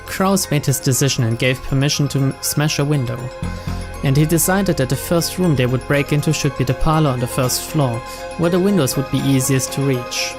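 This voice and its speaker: A man's voice: medium pitch, normal speed. A man reading scripted story narration for a mystery visual novel, with music playing behind him. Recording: loud music over the narration.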